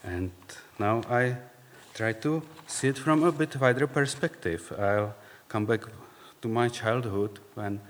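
A man with a Czech accent, speaking English as a second language, gives a reflective personal faith talk in halting, searching phrases. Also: mid-range voice, slight background noise. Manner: halting, searching for words, non-native phrasing. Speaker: speaker sharing faith story. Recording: long reverb, hall-like.

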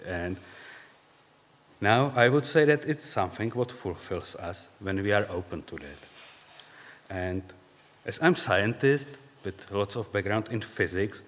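Low-voiced Czech-accented man, echoing hall. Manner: halting, searching for words, non-native phrasing. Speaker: speaker sharing faith story. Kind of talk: reflective personal testimony talk. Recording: long reverb, hall-like.

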